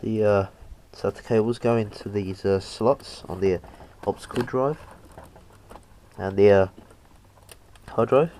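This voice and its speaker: A young man with a slight cold, narrating a PC hardware install in a halting, casual way with lots of fillers. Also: lowish voice, dark tone, a little hiss or hum, rough recording. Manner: halting, many fillers, talks while working. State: has a cold, slightly bunged up. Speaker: PC hobbyist showing a hardware install.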